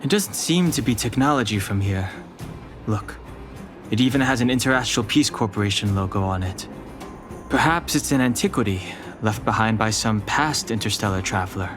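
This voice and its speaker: A man playing a calm, observant, analytical young man in a video game. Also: lowish voice, moderate pace, over music, close to an everyday voice. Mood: thoughtful. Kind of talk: video game character acting.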